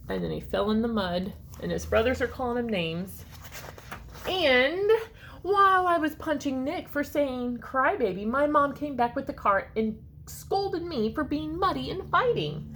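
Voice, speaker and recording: A woman reading a children's storybook aloud with expressive emphasis and short asides to her listeners. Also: fairly high pitch, vocal fry, slight background noise. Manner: expressive, dramatic emphasis on key words.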